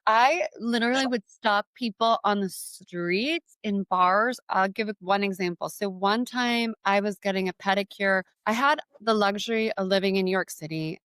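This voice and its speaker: A woman's voice: medium pitch, moderate pace, hardly any pauses, a bit bright, clear, resonant tone, no echo. A young woman, a business guest, telling candid stories and giving advice with many casual fillers. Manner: candid storyteller, frequent "like" fillers. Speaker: guest entrepreneur. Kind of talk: interview conversation.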